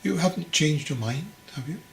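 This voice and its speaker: A man's voice: fairly high pitch, lively intonation, normal speed, roomy sound, voice pushed up. Guy doing a wise old monk master for a storybook, patient, teasing, asks lots of questions, bit of noise behind. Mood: gently stern. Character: venerable old bald monastery master, calm, gently probing. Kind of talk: storybook character dialogue.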